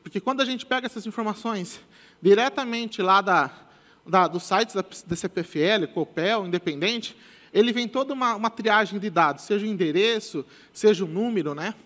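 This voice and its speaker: Young Brazilian male presenter, high voice, loose and repetitive delivery, live stage mic. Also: moderate pace, a bit bright. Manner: casual, repeats "tá?" as a tag, many restarts. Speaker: conference speaker. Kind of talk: technical conference talk. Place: large conference stage with audience. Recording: long hall reverb.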